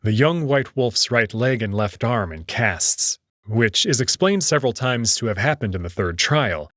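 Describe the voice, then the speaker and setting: A man's voice: mid-range voice, animated, moderate pace, fairly bright tone, dry recording, studio quality. A man reading a visual novel aloud as first-person dramatic narration.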